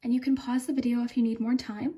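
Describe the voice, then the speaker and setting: A woman with a fairly high, very soft-edged, mellow voice talks through a tarot reading as its host. She speaks casually and off the cuff, in a gentle, calming manner that often tapers into quiet. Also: fast talker, husky. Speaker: tarot reader and channel host.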